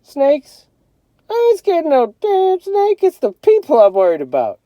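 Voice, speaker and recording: A man's voice: very high voice, huge pitch swings, a little slow, warm tone, dry recording, muffled recording, voice pushed up, heavily acted. A man voicing a cocky adolescent older brother in a storybook, brash and slangy with menacing bravado. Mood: defiant.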